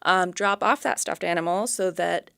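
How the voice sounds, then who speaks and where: Woman, library representative, conversational event announcements, clear smooth voice, dry sound. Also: on the low side, moderate pace, fairly bright tone, dry recording. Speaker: library representative, radio guest.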